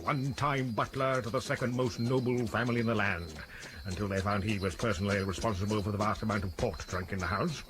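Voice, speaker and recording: An actor playing a tired, dogged British police inspector in a radio drama, dry and purposeful. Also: male voice, on the low side, fairly even intonation, quick pace, natural voice. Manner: dry, world-weary, purposeful.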